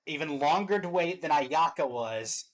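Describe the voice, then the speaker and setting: A man's voice: fairly high pitch, expressive, normal speed. A man giving casual, playful and enthusiastic commentary as a reaction show host.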